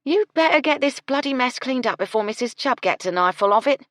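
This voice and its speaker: Loud, blunt kitchen maid, a woman doing her, scolding, swinging pitch. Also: animated, brisk, dry recording, studio quality. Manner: blunt, scolding, swears freely. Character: brash bossy young kitchen maid.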